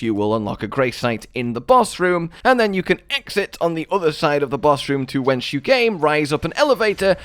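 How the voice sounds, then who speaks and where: Man, fairly high voice, very lively intonation, chatty game guide narration, wordy, playfully dramatic. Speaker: video game guide host. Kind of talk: enthusiastic scripted game guide voice-over.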